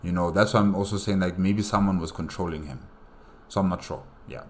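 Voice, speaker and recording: A man's voice: deep voice, fairly even intonation, fairly quick. A man giving casual reaction commentary in a musing, hesitant way, often putting questions to himself. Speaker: host reacting to a game movie.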